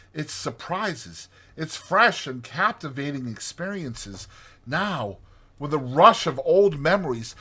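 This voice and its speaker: A man narrating a classic storybook in an expressive, theatrical way. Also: a little high, husky. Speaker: audiobook narrator.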